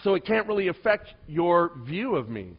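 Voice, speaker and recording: A middle-aged male pastor with a soft voice, telling a story in a sermon and swinging between loud emphasis and hushed asides. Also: high voice, sing-song, moderate pace, husky, highs cut off.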